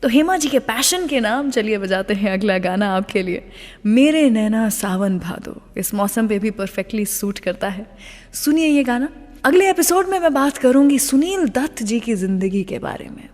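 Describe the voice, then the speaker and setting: A woman's voice: a little high, expressive, moderate pace, a little mellow, long reverb tail. An Indian woman presenting a radio show conversationally, addressing listeners directly with questions and mixing English words into her speech.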